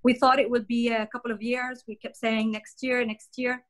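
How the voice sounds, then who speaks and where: A woman's voice: a little high, little pitch movement, moderate pace, a bit bright. Hesitant middle-aged woman from Jordan. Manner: hesitant, many fillers and restarts. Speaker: podcast guest. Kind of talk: conversational interview.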